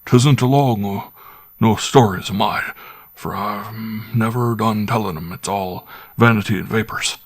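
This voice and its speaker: A man's voice: fairly low pitch, unhurried, no echo. A man voicing an old, superstitious butler who speaks in a rustic, wary way. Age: older.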